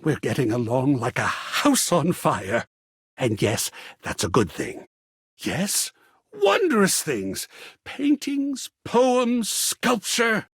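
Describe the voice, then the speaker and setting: An actor playing a flamboyant, seductive living work of art in a video game, theatrical and affectionate, with breathy husky endearments. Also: male voice, on the high side, huge pitch swings, a bit bright, no room sound, studio quality, exaggerated voice. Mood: pleased, musing. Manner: theatrical, drawn-out purring endearments with sudden exclamations. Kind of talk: theatrical game character acting.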